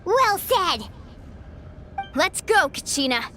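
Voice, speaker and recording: A woman's voice: very high voice, big pitch swings, takes it slowly, noisy background, heavily acted. A woman playing a spirited, loyal young heroine in an anime-style video game, earnest and emotive. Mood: defiant.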